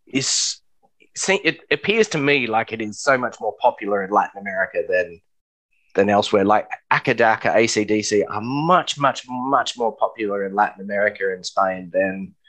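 A man's voice: fairly low pitch, animated, normal speed, a bit bright, low-quality recording. A man hosting a casual interview, often repeating words as he gathers his thoughts. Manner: stammering, repeats words while thinking. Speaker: host.

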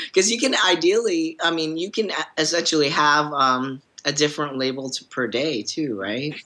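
A man's voice: a little high, moderate pace, sharp tone. A man speaking as a guest on a podcast panel, talking casually and hesitantly, with many fillers and restarts. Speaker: panel guest, suit designer.

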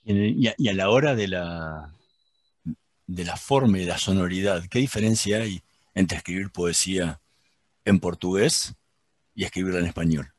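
Low-voiced halting interviewer, a man. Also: no echo. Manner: halting, repeats words as he starts. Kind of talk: interview questions.

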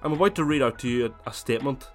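A man with a cold hosting his gaming podcast, chatting casually and then reading a scripted essay in steady narration. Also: mid-range voice, racing, soft background music. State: has a cold, voice slightly worn. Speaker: podcast host.

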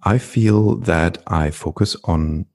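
A middle-aged man from Flanders, speaking as a podcast guest in a reflective, unhurried way with frequent ums. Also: low voice, a little mellow. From Belgium (Flanders). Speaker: guest, entrepreneur and coach. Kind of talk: podcast interview conversation.

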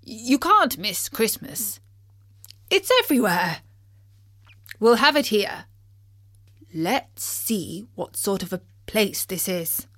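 A woman's voice: mid-range voice, very animated, slow, pauses often, a bit bright, dry recording, clean studio sound, full character voice. A woman voicing a cheery, curious male animal companion who talks in a musing, reassuring, old-fashioned way.

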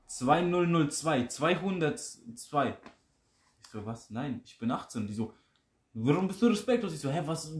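A young man rattles through a story at a quick clip, slangy and loose. His voice bounces around a roomy, echoey space. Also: mid-range voice. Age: young adult. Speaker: friend in group chat. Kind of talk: casual banter, storytelling.

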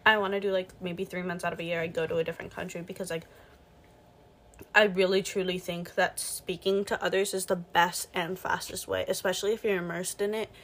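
A woman's voice: fairly low pitch, level tone, a little fast, fairly bright tone. A young woman chatting casually as a co-host in an informal, slangy way. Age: young adult. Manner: informal, slangy, mild swearing. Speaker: podcast co-host. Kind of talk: casual chat.

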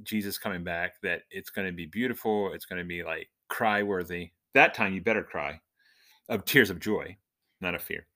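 A middle-aged man hosting a casual podcast, chatting and telling stories with animated, emphatic build-ups. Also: low voice, normal speed. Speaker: podcast host.

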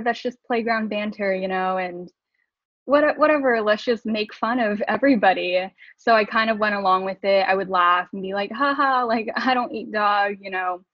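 A woman's voice: mid-range voice, fairly bright tone, no echo. A woman co-hosting a podcast, talking candidly and reflectively, with frequent laughs. She grew up in Southern California speaking Mandarin and English. Her voice sounds dry and close, with a slightly dull, lo-fi tone. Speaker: podcast co-host. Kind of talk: casual conversation.

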